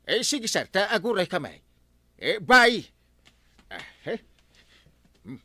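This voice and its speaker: A bumbling, argumentative village captain, acted by a man for a comedy radio drama. His speech is hesitant and full of stammers, and his pitch swings widely. The sound is dry and close. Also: very animated, slow, sharp tone, no room sound, heavily acted. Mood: nervous, eager. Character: flustered, comic village captain, a bumbling husband.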